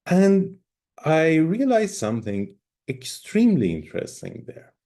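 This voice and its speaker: A middle-aged man, a native Persian speaker, giving an online conference talk in an emphatic lecturing manner with pauses and fillers. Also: a little high, wildly swooping pitch, warm tone. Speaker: guest speaker.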